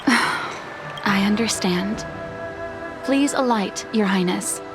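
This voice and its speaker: A woman's voice: medium pitch, extremely slow. A woman playing a composed, respectful attendant in a video game, speaking formally and politely. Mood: polite, concerned. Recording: game music behind the voice.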